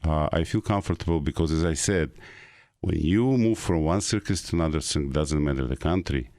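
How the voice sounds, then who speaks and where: Very deep-voiced man, Russian-accented English. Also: a little mellow, no echo, clean studio sound. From North Ossetia, Russia. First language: Ossetian. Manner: halting English with frequent uh pauses. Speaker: guest. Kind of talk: casual interview.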